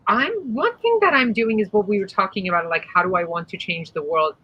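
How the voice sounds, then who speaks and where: A woman's voice: medium pitch, fairly quick, slightly rough, muffled recording. A woman from Iran, whose first language is Persian, speaking expressively and with some sass as a guest on a video-call panel conversation. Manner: expressive, sassy, earnest. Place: home room.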